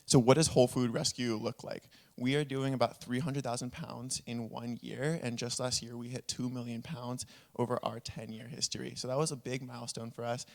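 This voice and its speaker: A man presents at a conference in a conversational, earnest manner. His voice sits at a middling pitch with a good deal of gravelly fry. He comes across as humble, like a panelist sharing what he has learned. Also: flat delivery, brisk. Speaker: food rescue nonprofit speaker, panelist.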